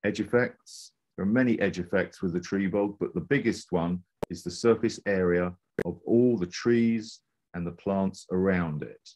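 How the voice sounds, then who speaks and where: A man's voice: deep voice, moderate pace, darkish tone. A man narrating a scripted slide presentation as a presenter.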